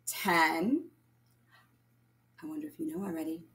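A woman reading aloud and counting as a primary teacher, addressing young children in an instructional way and pausing for answers. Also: sits low, fairly even intonation, a bit bright, slight background noise. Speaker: primary school math teacher. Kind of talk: children's read-aloud lesson, counting along.

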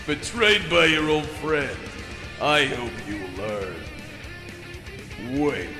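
A man voicing a bitter, volatile skeleton monster villain in a dramatic comic dub, with a gravelly, snarling delivery. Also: on the high side, sing-song, drawn-out words, full character voice. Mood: menacing, mocking. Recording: echoey hall reverb, music behind.

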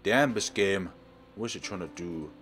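A man's voice: deep voice, normal speed, fairly bright tone, a little hiss or hum. A man hosting a let's play, dramatically reading a visual novel aloud and commenting on it.

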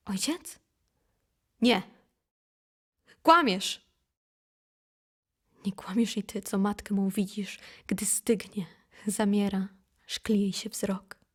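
Woman slowly, intensely reciting dramatic poetry. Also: medium pitch, slightly rough. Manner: intense, solemn, deliberate phrasing. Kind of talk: dramatic poetry recitation, from whisper to impassioned cries. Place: recording studio.

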